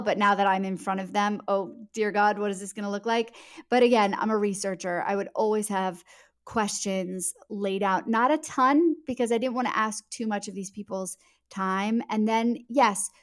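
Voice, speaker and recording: A woman speaking as an author being interviewed, giving conversational answers in an earnest, emphatic way, leaning on key words. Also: medium pitch.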